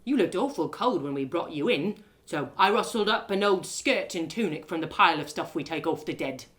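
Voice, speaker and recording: A woman playing a kindly male prison guard with a folksy, polite and gentle way of talking. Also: fairly low pitch, expressive, fairly quick.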